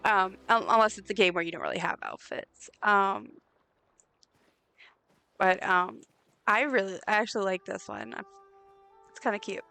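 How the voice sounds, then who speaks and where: A woman with a raspy voice chatting casually while streaming a game, rambling with lots of ums and likes. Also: medium pitch, sing-song, moderate pace, long pauses. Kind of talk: casual live gameplay chat. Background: soft game music.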